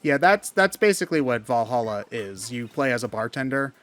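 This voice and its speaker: A man's voice: medium pitch, animated, brisk, a bit bright. A man giving casual video game commentary, talking in a hesitant, rambling way. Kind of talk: casual gameplay commentary chat.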